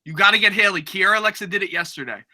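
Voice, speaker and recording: A man's voice: high-pitched, very fast, pushed and bright. A man teaching middle school, bantering casually and playfully with students in informal, slangy talk.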